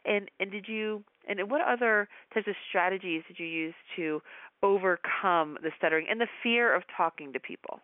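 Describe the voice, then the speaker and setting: A woman hosting a podcast, asking a guest long, measured questions. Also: medium pitch, dry recording, narrow, tinny audio. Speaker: podcast host. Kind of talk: interview hosting.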